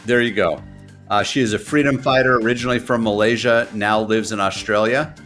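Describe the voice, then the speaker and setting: A man hosting an interview show in a relaxed, rambling way, openly bad at remembering names. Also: on the low side. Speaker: podcast host. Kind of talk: casual chat.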